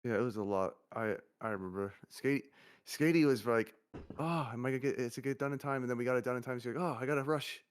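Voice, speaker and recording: A man speaking as a guest in a casual livestream chat, in a monotone voice with a stutter and many restarts. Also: mid-range voice, fast, clean tone. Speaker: agency CEO guest.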